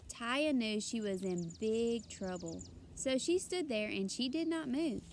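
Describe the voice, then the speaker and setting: A woman's voice: a little high, lively intonation, unhurried, darkish tone, slight background noise. A woman reading a children's story aloud expressively and emphatically, like a teacher addressing her young listeners.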